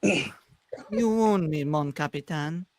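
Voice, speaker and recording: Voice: medium pitch, slow pace, dry recording. A performer reading the part of a theatrical, teasing godlike alien trickster in a live sci-fi script read.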